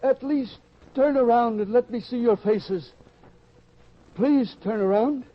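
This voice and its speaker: A man playing an elderly, loving farmer father in old-time radio style, earnest and pleading, with a husky voice. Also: very high-pitched, slow pace. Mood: urgent pleading. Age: older. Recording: dull lo-fi radio drama sound.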